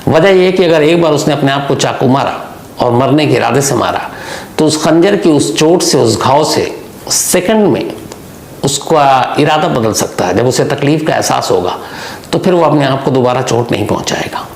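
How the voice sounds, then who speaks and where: Male true-crime host, gravelly and explanatory, telling it unscripted in a reverberant room. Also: mid-range voice, darkish tone. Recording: echoey room, faint background noise.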